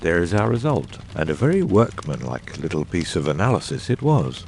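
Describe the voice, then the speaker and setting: A man acts out a brilliant middle-aged detective in an audiobook drama, with a very low, creaky voice. He is exacting and theatrical, phrasing eruditely with wide swings of pitch and deliberate pauses. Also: sing-song, a little mellow, a little hiss or hum, exaggerated voice. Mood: self-satisfied.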